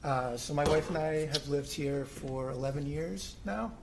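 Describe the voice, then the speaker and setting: A man's voice: fairly high pitch, moderate pace, creaky, background noise. A middle-aged man presenting his own plans to a city commission, informal and hesitant with many ums and restarts. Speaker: homeowner applicant at a city commission. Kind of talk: informal presentation at a public meeting. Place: city hall meeting room.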